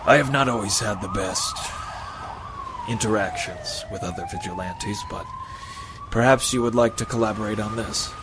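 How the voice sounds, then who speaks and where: An actor playing a grave, seasoned crime fighter with formal, earnest speech in a radio superhero drama. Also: male voice, medium pitch, huge pitch swings, moderate pace, heavy background noise. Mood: solemn.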